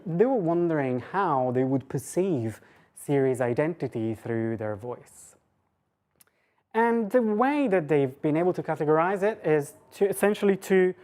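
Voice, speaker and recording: A woman with a low voice delivers an academic talk in a conversational register. She thinks aloud as she goes, hesitating, interrupting herself and posing rhetorical questions. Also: mellow. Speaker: lecturer giving a talk. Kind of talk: academic lecture, informal and conversational.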